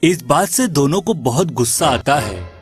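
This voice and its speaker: Male narrator for animated folk tales; scripted storytelling, fairly high register, light music bed. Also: moderate pace.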